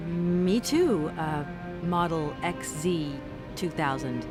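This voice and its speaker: A woman's voice: low-pitched, lively intonation, slow, over music. An actress voicing a polite, inquisitive adventure game heroine, courteous and questioning in her video game dialogue. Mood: polite, curious.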